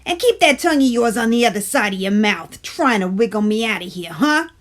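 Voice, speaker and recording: A woman voicing a bossy, wisecracking sweet tooth in a children's storybook, loud and pleading as it craves candy. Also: fairly high pitch, animated, brisk, natural voice. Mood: indignant. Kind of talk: animated picture-book character voice.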